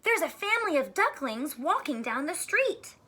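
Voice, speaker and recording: Woman playing an urgent, high-pitched police officer. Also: very animated, normal speed, bright, forceful tone, heavily acted. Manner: exclaiming, urgent. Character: urgent, caring male police officer calling for help.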